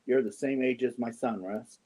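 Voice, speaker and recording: A man in his fifties chatting casually with viewers on a yarn livestream, joking and often clearing his throat. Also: medium pitch, unhurried, mellow. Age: 50s. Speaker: yarn channel host. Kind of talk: casual livestream chat answering viewer comments.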